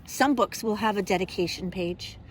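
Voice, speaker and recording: A woman kindergarten teacher speaking simply and expressively to young children, in a deliberate storytime manner. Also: mid-range voice, noisy background. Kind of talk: children's storybook read-aloud and simple instruction.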